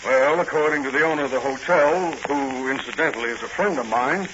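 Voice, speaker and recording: An actor playing an earnest, authoritative police commissioner with an American accent, formal and businesslike, in 1940s radio drama style. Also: male voice, medium pitch, fairly quick, background noise, natural voice. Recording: dull vintage radio recording.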